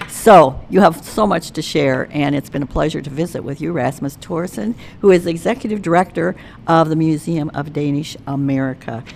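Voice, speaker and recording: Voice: medium pitch, normal speed, gravelly, few pauses, a little hiss or hum. A radio show host leading a casual, folksy interview.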